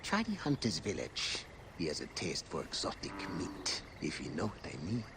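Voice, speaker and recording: An actor playing a playful, teasing villager in a video game with an exaggerated, singsong delivery. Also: male voice, low voice, wildly swooping pitch, normal speed, vocal fry, full character voice. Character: playful, teasing male villager named Neb. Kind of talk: video game cutscene acting. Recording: noisy game ambience behind.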